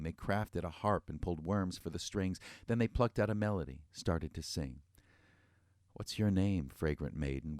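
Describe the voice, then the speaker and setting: A man's voice: low-pitched, darkish tone, no echo, studio quality. A man narrating a scripted fairy tale in rhythmic verse, with a wry, tongue-in-cheek delivery. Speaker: storyteller.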